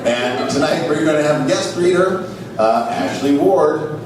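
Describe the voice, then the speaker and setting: Animated man hosting in an echoey theater. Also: fairly high pitch, a little slow, crowd noise. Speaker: comedy show host. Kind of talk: live comedy reading and storytelling. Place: live on stage in a small theater. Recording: echoey theater, distant mic, noisy.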